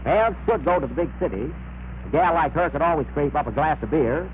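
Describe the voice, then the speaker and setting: A man's voice: a little high, lively intonation, brisk, close to an everyday voice. An actor playing a grumbling rural father in an old radio comedy, folksy, dry and exasperated. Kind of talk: 1930s radio comedy acting. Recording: noisy muffled old radio recording.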